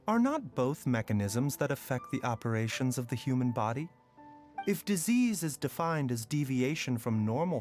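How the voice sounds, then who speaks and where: A man voicing a composed physician in a video game who speaks in a measured, calm and reflective way. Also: on the high side. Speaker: doctor.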